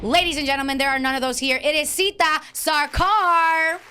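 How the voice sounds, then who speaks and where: A woman's voice: high-pitched, steady tone, moderate pace, bright, forceful tone, slight background noise. A woman hosting a podcast in a recording studio, talking in an exuberant, playful and slangy way. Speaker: podcast host. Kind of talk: casual interview chat.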